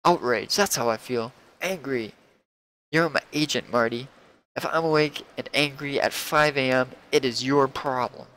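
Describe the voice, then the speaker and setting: A man's voice: mid-range voice, normal speed, fairly bright tone, natural voice, poor audio. A man playing an angry, neurotic television writer who rants indignantly and trips over his words, comic-book style. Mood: irritated. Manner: indignant, sputtering. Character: angry, neurotic male television writer, ranting and self-pitying.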